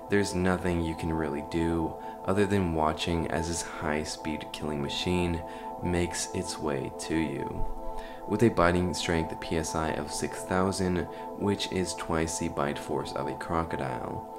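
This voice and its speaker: A man hosting a horror explainer video, giving scripted commentary with casual asides to his viewers. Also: rumbling low. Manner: addresses viewers informally. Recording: music behind the voice.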